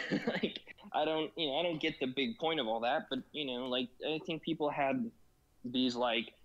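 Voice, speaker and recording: A man's voice: mid-range voice, moderate pace, fairly bright tone, muffled recording. A man chatting casually as a guest about a strategy game, rambling with many fillers and frequent laughs. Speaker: guest in gaming discussion. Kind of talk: casual chat.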